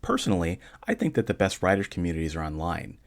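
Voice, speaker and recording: A man with a notably low voice hosts his own show and talks listeners through their questions. He keeps a calm, measured pace and delivers dry jokes completely deadpan. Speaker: podcast host answering listener questions. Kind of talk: casual solo podcast talk.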